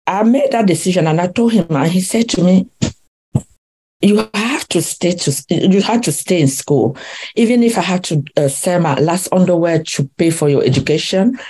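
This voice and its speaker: An older woman from Cameroon tells her story as a podcast guest. Her voice sits low and mellow, and she gropes for words with many fillers and restarts, often breaking into a laugh. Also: breathy edge. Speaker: guest, relationship coach. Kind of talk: interview conversation.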